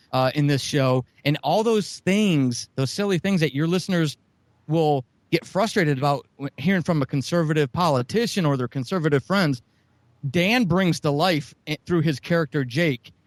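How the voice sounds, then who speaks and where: Middle-aged man, podcast guest, rambling and profane, slightly high voice, dead-dry sound. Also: normal speed, no echo. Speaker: podcast guest, audio drama writer. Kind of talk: casual podcast interview.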